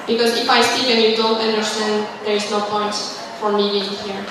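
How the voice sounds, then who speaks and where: A woman's voice: medium pitch, flat delivery, normal speed, noisy background. A woman English teacher speaking informally to a class, encouraging students to ask questions, with frequent fillers like "like" and "um". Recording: echoey hall, voice from far off.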